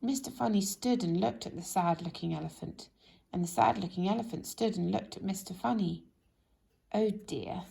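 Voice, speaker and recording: A woman reading a children's story aloud in an expressive, animated way, speaking directly to young listeners. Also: medium pitch, moderate pace, vocal fry. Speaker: storybook reader.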